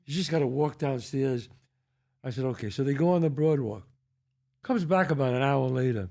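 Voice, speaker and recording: A man's voice: mid-range voice, normal speed, creaky. An older man with a New York accent, hosting a casual chat and acting out quotes as he tells stories. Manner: animated storyteller acting out quotes. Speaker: podcast host.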